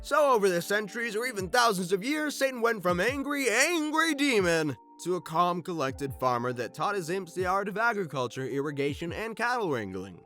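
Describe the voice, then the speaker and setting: A man's voice: high voice, very animated, a little fast, few pauses, bright, forceful tone, soft background music. A man hosting a scripted fan-lore video, telling it in a theatrical, wry way with joking asides. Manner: theatrical, wry storytelling delivery. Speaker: video host.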